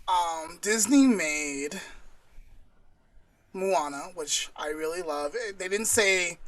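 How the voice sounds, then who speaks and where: A woman co-hosts a casual podcast with a slightly low voice. Her delivery is conversational and inquisitive, like relaxed talk between two people who know each other. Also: slow pace. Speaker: podcast co-host.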